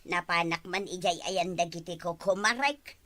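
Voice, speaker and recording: A woman playing a mocking, sharp-tongued busybody in an Ilocano-accented comedy drama, taunting and exclaiming. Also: lowish voice, normal speed. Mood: gossipy. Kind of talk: broad comedy drama acting.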